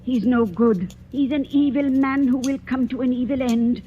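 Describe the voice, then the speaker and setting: An actress playing a stern, bitter old spinster, imperious and scolding, in old-time radio horror style. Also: female voice, high-pitched, moderate pace, a little hiss or hum, natural voice. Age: older. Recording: muffled vintage radio recording, faint music.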